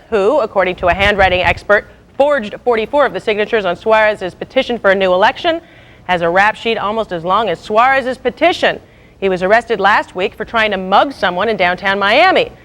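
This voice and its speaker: A woman's voice: medium pitch, fairly bright tone. An American woman anchoring scripted local TV news with a wry, punchy, irreverent delivery.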